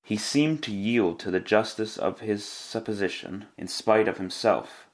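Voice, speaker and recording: A man narrating a classic radio drama in a measured, literary, dramatic way. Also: fairly low pitch.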